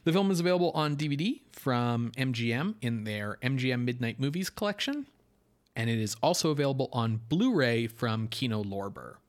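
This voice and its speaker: A man co-hosting a film podcast, explaining things in a measured way as he moves between prepared notes and discussion. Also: mid-range voice, expressive. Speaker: podcast co-host.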